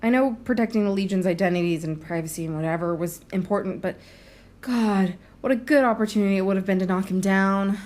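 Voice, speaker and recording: A woman's voice: medium pitch, brisk, darkish tone, natural voice. A woman acting a biting, vengeful journalist in an audio drama, cutting and full of relish. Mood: scornful, relishing.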